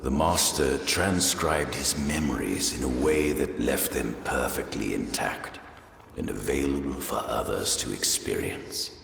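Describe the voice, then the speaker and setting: A man voicing an ancient, sly undead curator in a fantasy game, with a deep gravelly voice and a formal, theatrical way of savoring words. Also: measured pace, fairly bright tone, a little hiss or hum, full character voice. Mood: courteous, sly. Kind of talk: fantasy game character acting. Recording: echoey hall reverb, faint music behind.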